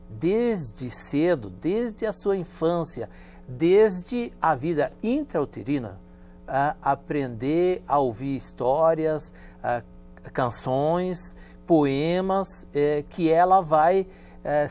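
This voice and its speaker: German-native professor with a fairly high voice. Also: male voice. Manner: measured and storytelling, with lively emphasis. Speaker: guest professor. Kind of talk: studio interview answers. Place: TV studio.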